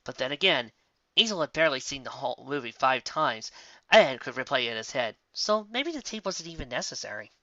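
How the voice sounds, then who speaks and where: A narrator reading a story aloud in an expressive, animated way, with casual asides. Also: mid-range voice, brisk, no echo, lo-fi sound.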